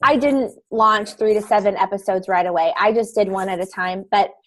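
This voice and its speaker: A woman's voice: mid-range voice, fairly even intonation, normal speed, fairly bright tone, low-quality recording. A woman podcaster rambling informally on a panel with many fillers. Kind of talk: casual panel discussion.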